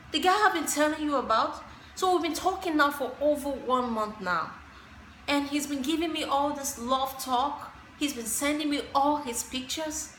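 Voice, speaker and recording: A woman's voice: sits high, bright, forceful tone, heavily acted. A woman acting an exasperated, dramatic single woman in a monologue, confiding in a girlfriend with theatrical, emphatic delivery. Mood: wistful, confiding. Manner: theatrical, emphatic, drawn-out stressed words. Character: exasperated, dramatic single woman venting about a disastrous date. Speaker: actress performing a monologue. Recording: roomy echo, faint background noise.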